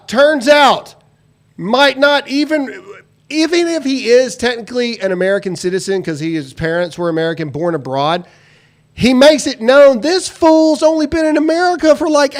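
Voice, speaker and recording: A man's voice: very high voice, expressive, unhurried. A man hosting a live talk show, joking loosely and reading sponsor spots with lots of "uh" fillers. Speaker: host. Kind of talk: casual live show chat with sponsor reads.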